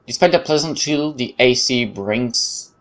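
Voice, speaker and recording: A let's player who reads game text aloud and thinks out loud in hesitant, casual asides. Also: medium pitch, animated, unhurried. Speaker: gaming video host. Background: game music.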